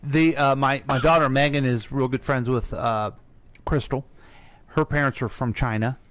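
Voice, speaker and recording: A man's voice: medium pitch, steady tone, normal speed, fairly bright tone, no echo. An American man co-hosting a casual radio discussion in a conversational way. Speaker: radio co-host.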